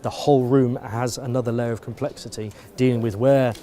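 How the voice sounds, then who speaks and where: A man's voice: medium pitch, steady tone, a little fast, mellow. A British man, an operations supervisor, giving explanatory interview answers in a methodical way. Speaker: air traffic control supervisor interviewee.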